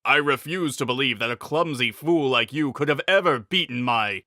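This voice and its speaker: A man's voice: medium pitch, moderate pace, bright, forceful tone, no echo, studio quality, pitched above the performer's own voice. A man voicing a proud, vengeful villain knight in a dramatic fantasy story reading, scornful and declamatory. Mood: mocking.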